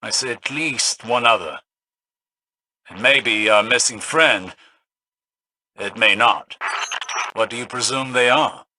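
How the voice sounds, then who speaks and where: Bright-voiced, grave male space advisor. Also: medium pitch, no echo, exaggerated voice, rough recording. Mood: cautious, urgent. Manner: measured, formal, cryptic. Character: calm, grave male space mission advisor, scholarly and wary. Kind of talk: video game dramatic voice acting.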